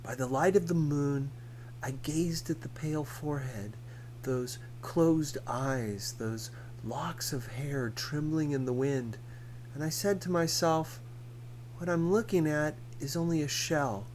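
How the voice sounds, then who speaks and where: A man reading a novel aloud as scripted narration, in a measured, deliberate manner. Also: mid-range voice, darkish tone, slight background noise, low-quality recording. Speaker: audiobook reader.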